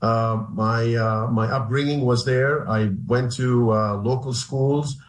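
Halting middle-aged man. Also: medium pitch, fairly even intonation. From Haifa, Israel. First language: Arabic. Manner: halting, many restarts and hesitations. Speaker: guest, human rights lawyer. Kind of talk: radio interview answers. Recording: roomy echo.

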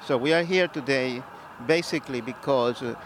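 A man with a Venezuelan Spanish accent speaks earnestly at an outdoor rally. He pauses often and works through his points with fillers. Faint street noise sits behind him. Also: mid-range voice, rough recording. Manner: earnest, halting, with many fillers. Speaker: activist interviewee. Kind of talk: street interview.

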